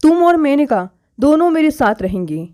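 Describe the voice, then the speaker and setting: Restrained, defensive husband, voiced by a woman, highish pitch. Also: big pitch swings, moderate pace, dark tone. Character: self-justifying husband with a second wife.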